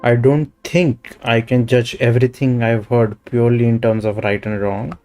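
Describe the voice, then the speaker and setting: A man's voice: mid-range voice, level tone, moderate pace, darkish tone. Indian man commentating on a game. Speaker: game streamer. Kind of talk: casual live game commentary. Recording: game music behind.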